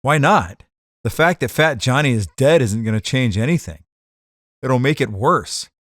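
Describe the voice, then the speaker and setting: A man acts out a hapless, dim husband in a hardboiled gangster radio comedy. His delivery is whiny and bewildered, with very lively pitch swings. The recording is dry and close. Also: normal speed, no room sound. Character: slow-witted, hapless, indignant husband caught among gangsters. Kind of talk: hardboiled comedic gangster audio drama acting.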